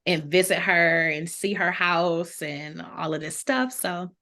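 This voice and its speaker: A woman's voice: fairly low pitch, unhurried, bright, forceful tone. A woman talking casually and informally on a podcast, thinking aloud with slangy phrasing. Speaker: podcast panelist. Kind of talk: casual chat.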